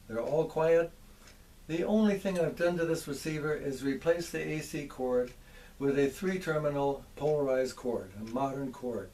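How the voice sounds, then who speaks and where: An older man with an American accent gives a folksy, measured show-and-tell, addressing viewers directly like a hobbyist presenter. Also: fairly high pitch, darkish tone, slight background noise, low-quality recording. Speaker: presenter, antique radio hobbyist. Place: home workshop.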